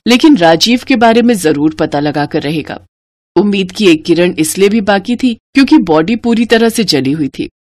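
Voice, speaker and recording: A woman's voice: mid-range voice, a little mellow, no room sound. A woman reading a scripted story as its narrator.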